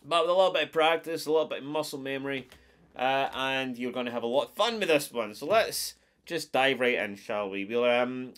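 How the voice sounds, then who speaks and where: A man in his forties with a stuffed-up nose, giving a relaxed step-by-step guitar lesson from a small wood-paneled room. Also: a little high. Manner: friendly, explains step by step with counts. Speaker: guitar teacher. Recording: acoustic guitar playing throughout.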